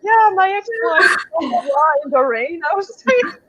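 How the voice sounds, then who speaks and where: A woman co-hosting a book club chat in a casual, opinionated, animated way, with lots of hedging. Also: very high voice, normal speed.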